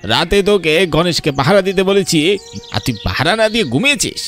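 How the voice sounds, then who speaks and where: A man's voice: high voice, very animated, fairly quick, fairly bright tone. A man voicing a harsh, accusing village uncle in a cartoon, scolding. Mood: angry. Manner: scolding, berating. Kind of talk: cartoon voice acting.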